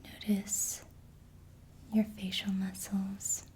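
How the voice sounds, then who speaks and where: A woman speaking in a breathy whisper, giving slow, soothing ASMR relaxation guidance with gentle instructions. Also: monotone. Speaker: ASMR reiki practitioner. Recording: faint background noise with low rumble.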